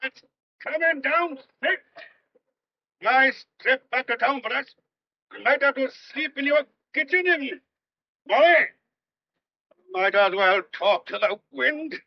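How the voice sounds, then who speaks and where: An actor playing a gruff but kindly, exasperated police sergeant in a thriller audio drama, with a blunt, coaxing, colloquial way of talking. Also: male voice, extremely high pitch, expressive, slow pace, long pauses, muffled recording, full character voice. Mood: straining, urgent, coaxing. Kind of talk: old-fashioned thriller audio drama acting.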